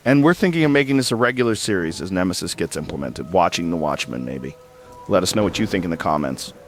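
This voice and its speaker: A man's voice: sits low, lively intonation, fast talker, slight background noise. A man playing a blunt, skeptical talk show host with a casual, challenging way of interviewing. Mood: dry, casual.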